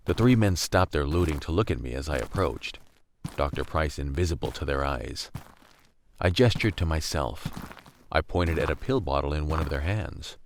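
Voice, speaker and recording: A man's voice: extremely low pitch, slight background noise. A man acting as a weary, wry young survivor, narrating a radio drama in the first person. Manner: wry, brooding, world-weary. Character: weary, gritty young male survivor narrating a post-collapse story.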